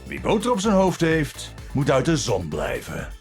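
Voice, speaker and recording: An actor voicing a brilliant, tormented scientist in a video game, with a Dutch accent and a measured, authoritative manner that shifts between gentle and intense. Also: male voice, on the high side, huge pitch swings, gravelly, faint music behind, full character voice. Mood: wry. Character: Sigma, brilliant tormented scientist, kindly authoritative, unstable, given to bad jokes.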